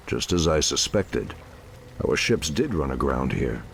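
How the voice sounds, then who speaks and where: A man playing a grave, wise ancient elven druid leader with a measured, formal delivery in a video game. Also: extremely low pitch, very animated, gravelly, slight background noise, exaggerated voice. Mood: calm resolve. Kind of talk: dramatic video game cutscene acting.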